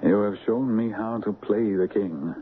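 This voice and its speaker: A man's voice: fairly low pitch, moderate pace, lightly acted. An actor playing a wounded, humble king in a radio drama, speaking in a reflective, formal manner. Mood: grateful, reflective. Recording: muffled, noisy vintage radio broadcast.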